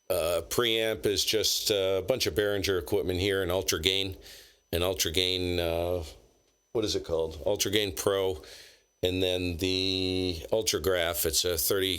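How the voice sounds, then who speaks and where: A man with a low, deep voice and a bright, forceful edge, chatting over a ham radio link. His talk is loose and friendly, full of fillers as he rambles about his equipment. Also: fairly even intonation, measured pace, smooth. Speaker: ham radio operator, channel host. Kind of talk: casual radio chat.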